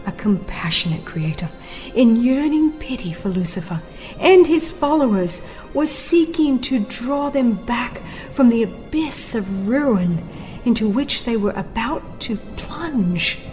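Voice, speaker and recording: A woman giving a dramatized, scripted narration of a religious book in a solemn, deliberate, theatrical manner, her phrases often ending hushed. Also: a little high. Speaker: audiobook narrator. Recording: music behind the voice. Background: background music.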